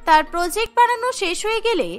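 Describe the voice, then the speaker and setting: A woman's voice: very high-pitched, fast talker, soft background music. A woman narrating a scripted cartoon story as its storyteller.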